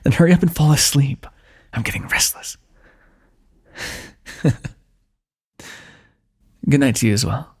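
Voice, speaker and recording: Gravelly guy doing soft affectionate asmr roleplay, lots of playful teasing. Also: medium pitch, animated, unhurried, pauses often. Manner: soft, teasing and affectionate, with frequent light laughs. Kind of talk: intimate ASMR fantasy roleplay.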